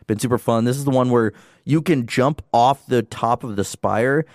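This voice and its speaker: A man co-hosting a casual gaming podcast, chatty and enthusiastic, with quick asides. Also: medium pitch, darkish tone, dry recording, studio quality. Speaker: podcast co-host.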